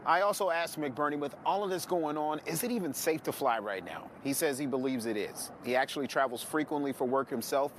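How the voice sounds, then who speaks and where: Male TV field reporter, American accent, mid-range voice, faint urban ambience behind. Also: mid-range voice. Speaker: TV news reporter. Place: outdoors near an airport. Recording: outdoor traffic and ambient noise.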